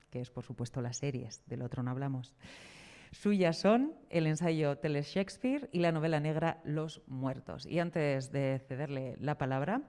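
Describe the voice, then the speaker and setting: A woman's voice: low-pitched, warm tone. A woman introducing a public round table as its presenter. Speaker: event presenter.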